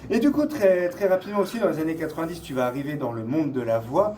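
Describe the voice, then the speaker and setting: A man's voice: on the high side, quick pace, warm tone. A man hosting a casual interview in a conversational way. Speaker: interview host.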